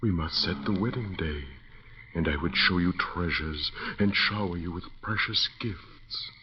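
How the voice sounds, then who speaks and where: An actor playing an undying, lovelorn phantom suitor in an old radio horror play, courtly and portentous, pleading with obsessive menace. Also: male voice, deep voice, a little slow. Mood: insistent, beckoning. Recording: muffled, noisy vintage radio recording.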